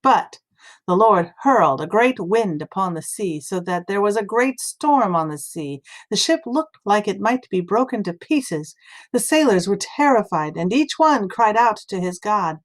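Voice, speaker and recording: A woman's voice: mid-range voice, animated, unhurried. Woman reading scripture as dramatic narration. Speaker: scripture reader.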